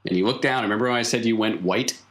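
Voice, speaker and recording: A man's voice: on the low side, rapid-fire, a bit bright, a little hiss or hum. A man acting as game master, narrating an improvised tabletop story in a wry, conversational way.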